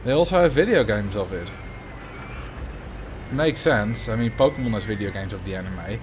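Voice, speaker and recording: A man's voice: lowish voice, expressive, moderate pace, faint music behind. A man from the Netherlands with a Dutch accent, casually chatting with viewers and thinking aloud while he plays a building game on a livestream. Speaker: streamer.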